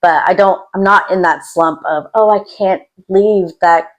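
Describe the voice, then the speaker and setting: A woman's voice: medium pitch, a little slow. A woman talking casually to camera as a YouTube host, in an introverted, self-deprecating and rambling way. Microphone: external microphone.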